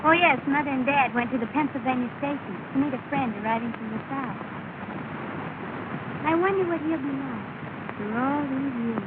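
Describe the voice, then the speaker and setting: An actress playing a lively young woman rider who talks in a bright, teasing way, in 1930s film style. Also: high-pitched, normal speed, close to an everyday voice. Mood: playful. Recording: tinny, hissy 1930 film soundtrack.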